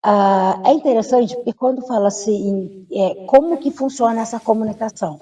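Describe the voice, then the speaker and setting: A woman's voice: on the high side, normal speed, warm tone, recorded from a distance. A woman speaking as a guest expert, explaining patiently with rhetorical questions and hesitant fillers. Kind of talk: studio discussion.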